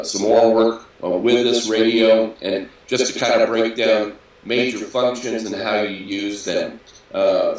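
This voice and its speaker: A man in his sixties with a bassy, raspy voice, talking casually and hesitantly with many fillers as an interview guest. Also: highs cut off. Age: 60s. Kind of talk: casual interview over a video call. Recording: echoey audio captured from a PC.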